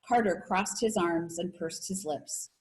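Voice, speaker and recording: A woman reading a children's novel aloud like a teacher, in an expressive, animated storytelling manner. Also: lowish voice, unhurried, poor audio. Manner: animated storytelling with voices for characters.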